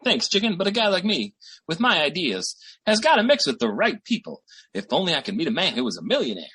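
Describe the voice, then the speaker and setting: An actor playing a boastful, slangy small-time schemer boyfriend in 1940s radio sitcom style, with a raspy voice. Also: male voice, a little high, huge pitch swings, fast talker, bright, forceful tone, exaggerated voice. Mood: grandiose scheming. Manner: slangy, bragging, theatrical hustler patter.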